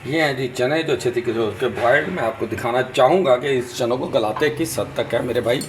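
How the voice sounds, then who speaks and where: A hoarse man with fever and a sore throat narrating a casual cooking vlog in his home kitchen, chatty and talking to viewers as brothers. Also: mid-range voice, brisk. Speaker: vlogger. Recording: noisy home video.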